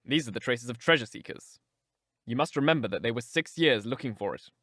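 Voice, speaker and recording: A man reading the part of a crisp, firm, composed detective in an audiobook story. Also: mid-range voice, expressive, a little fast, pushed and bright, dry recording, studio quality. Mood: matter-of-fact. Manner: crisp, assured.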